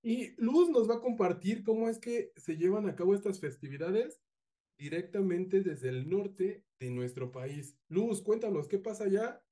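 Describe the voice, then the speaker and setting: Mellow-voiced host. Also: male voice, high voice, lively intonation, a little slow. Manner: friendly, hands the floor to guests. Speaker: podcast host. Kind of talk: hosting a casual chat.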